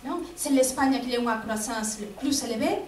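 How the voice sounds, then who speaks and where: Woman professor answering questions, high-ish voice, hesitant, lots of fillers; recorded from afar in a reverberant auditorium. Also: moderate pace. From Spain. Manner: hesitant, many fillers, frequent tag "non?". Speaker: guest speaker, professor. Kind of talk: lecture Q&A answers. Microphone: distant. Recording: echoey hall, distant mic, faint noise.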